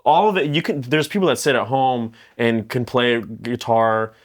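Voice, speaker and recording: A man's voice: mid-range voice, animated, normal speed. A man in his thirties chatting casually as a podcast guest, loose and profane, often breaking off and restarting. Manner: loose, profane, self-interrupting.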